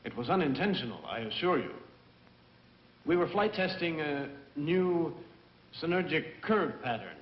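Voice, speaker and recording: An actor as a formal spaceship captain, roomy soundtrack. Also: male voice, on the high side, expressive, moderate pace, natural voice. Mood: courteous, earnest. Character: courteous, determined male spaceship captain. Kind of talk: dubbed 1960s sci-fi film acting. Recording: roomy, muffled old film soundtrack, music.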